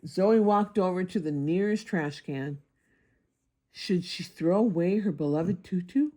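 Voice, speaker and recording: A woman's voice: fairly low pitch, a little slow, warm tone. A woman narrating a children's picture book aloud as a storybook reader.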